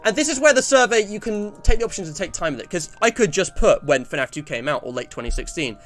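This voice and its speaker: English male streamer, around twenty, slightly high-pitched, casual and discursive, faint music underneath. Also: expressive, quick pace, fairly bright tone. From England. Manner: rambling, self-interrupting. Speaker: livestreamer. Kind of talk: casual livestream chat, reading out survey and viewer messages.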